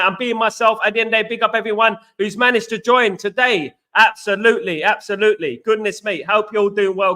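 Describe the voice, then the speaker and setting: English guy from england chatting on a stream, high bright voice, says hi to everyone by name. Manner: chatty, greets viewers by name, repeats himself. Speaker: host. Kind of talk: casual livestream chat.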